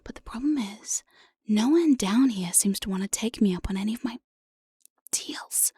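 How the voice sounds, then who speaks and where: A woman playing an evil, playful demon jailer in an ASMR roleplay, breathy and often whispering, with a sly, mock-sweet menace, close on the microphone. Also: medium pitch, animated, moderate pace, warm tone, no room sound. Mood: coaxing, sly. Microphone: close binaural studio microphone, dry.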